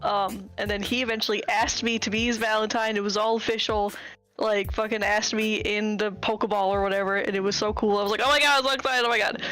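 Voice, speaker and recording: Woman caller, mid-pitched voice, rambling filler-heavy storytelling, faint music. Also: fairly even intonation, fast talker, a bit bright. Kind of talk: casual storytelling chat.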